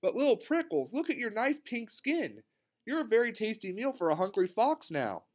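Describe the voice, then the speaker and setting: Man voicing a kindly lady owl schoolteacher, raised pitch. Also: animated, a little fast, natural voice. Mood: alarmed.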